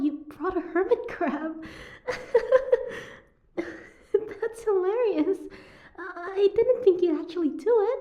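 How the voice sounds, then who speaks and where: A woman acts out a young saltwater mermaid held captive, in an audio roleplay. Her voice sits fairly high with a soft, mellow tone. She is guarded and sardonic, with a prickly, teasing edge. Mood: amused, surprised.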